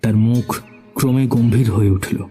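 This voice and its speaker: A man's voice: mid-range voice, steady tone, normal speed, warm tone, slightly rough. A man giving dramatic scripted narration of a horror story. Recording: background music under the voice.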